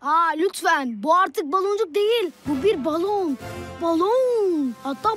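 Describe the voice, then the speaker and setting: A woman's voice: extremely high pitch, animated, measured pace, a bit bright, heavily acted. A woman playing a perky, stubborn, childlike friend in a children's cartoon, with a showy, insistent delivery. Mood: showy, excited. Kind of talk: animated children's cartoon dialogue. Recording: music behind the voice.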